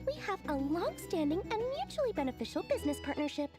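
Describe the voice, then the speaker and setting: A woman's voice: extremely high pitch, sing-song, fast talker, over music. A woman voicing a small, bubbly, greedy girl merchant in a video game, shrewd and boastful. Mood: indignant, haughty. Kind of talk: animated video game voice acting.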